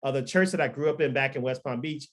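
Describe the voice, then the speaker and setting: A man's voice: mid-range voice, fast. A man in his thirties, a pastor and record producer born in Liberia and raised in Florida, telling his story in a podcast interview with lots of ums and restarts. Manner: rambling, many ums and restarts. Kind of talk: relaxed testimony in a podcast interview.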